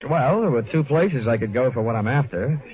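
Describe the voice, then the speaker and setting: An American man playing a cool, flirtatious hardboiled investigator with wry, deadpan delivery in a 1950s radio drama. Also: medium pitch, a little fast, natural voice, rough recording. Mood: flirtatious banter. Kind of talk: 1950s radio detective drama acting, first-person narration.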